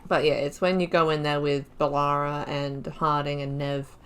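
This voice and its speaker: A woman's voice: low-pitched, flat delivery, moderate pace, fairly bright tone, a little hiss or hum. A woman giving let's-play commentary, musing aloud in a chatty way and laughing often. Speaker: YouTuber playing a video game.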